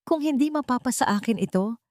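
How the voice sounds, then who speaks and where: A woman with a Filipino accent voicing a desperate, jealous, crazed male villain who shouts frantically in a romance story. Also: a little high, animated, brisk, dry recording, clean studio sound, voice pushed up, lightly acted. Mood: desperate rage.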